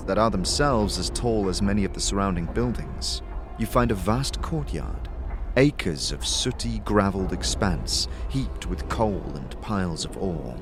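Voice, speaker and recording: A man giving scripted, dramatic second-person fantasy narration as a storyteller. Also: sits low.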